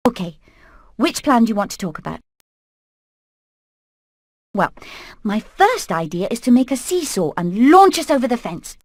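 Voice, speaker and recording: Brave female hen leader, rather high, earnest, determined, dry studio sound. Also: sing-song, moderate pace, pauses often, no echo. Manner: earnest, purposeful. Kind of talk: animated video game acting.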